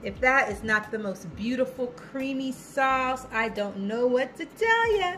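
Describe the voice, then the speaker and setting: Woman, thirties, cooking vlogger, upbeat, chatty, slightly high voice, home kitchen, close camera mic. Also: lively intonation, unhurried, fairly bright tone, a little hiss or hum, poor audio. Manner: upbeat, chatty, talks to viewers as "you guys". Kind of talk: casual cooking demo chat.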